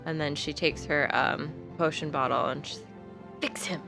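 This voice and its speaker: Female tabletop player, lowish voice, casual in-character talk, light music bed. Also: fairly even intonation, normal speed. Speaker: player in a tabletop game show. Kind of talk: casual role-play chat. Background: background music.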